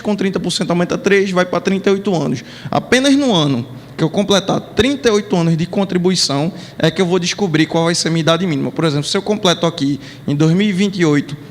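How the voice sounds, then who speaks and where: Brazilian male panelist, high-pitched explainer, hall reverb. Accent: Brazilian Portuguese. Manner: explanatory, works through numeric examples. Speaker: panel speaker on pension law. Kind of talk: lecture at a panel.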